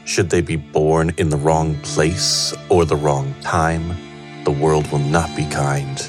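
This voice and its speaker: Very deep, solemn fantasy narrator. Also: male voice, little pitch movement. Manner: measured, solemn. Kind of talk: scripted narration. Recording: music behind the narration.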